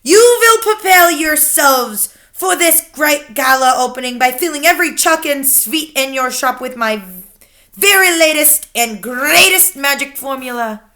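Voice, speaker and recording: A woman's voice: high-pitched, bright, forceful tone, pitched above the performer's own voice, heavily acted. A woman voicing a tiny, tyrannical female witch leader who shrieks orders in oddly broken grammar. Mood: scheming.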